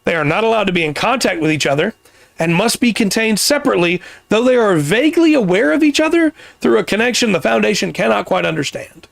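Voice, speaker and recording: Gravelly man explaining at length. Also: high-pitched, expressive, no room sound. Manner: explains at length, pauses and restarts. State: voice getting worn. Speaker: podcast host. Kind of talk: casual podcast explainer.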